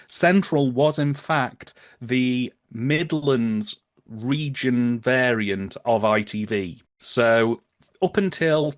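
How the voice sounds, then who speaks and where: A man's voice: medium pitch, dry recording, clean studio sound. A British man chatting casually as a podcast guest, wry and informative as he explains things.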